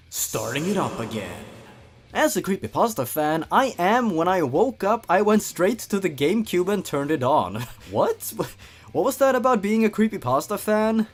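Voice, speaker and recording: A man with a fairly high voice hosts a comedic read-aloud of amateur horror fiction. His delivery swings widely in pitch, with theatrical emphasis on the story. He drops in wry, sarcastic asides as commentary. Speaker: comedy video host reading and commenting on horror fan fiction.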